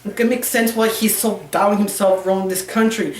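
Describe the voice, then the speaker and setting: A woman giving a casual, opinionated review, rambling and halting, with non-fluent English phrasing. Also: mid-range voice, fairly even intonation. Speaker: cartoon reviewer. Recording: roomy, echoey sound.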